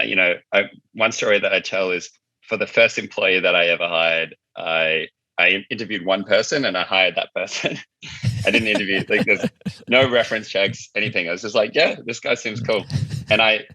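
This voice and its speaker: A man's voice: on the low side, pushed and bright, no echo, poor audio. A man talking casually and informally as a guest in an interview, often saying "like" and "you know". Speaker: company founder being interviewed.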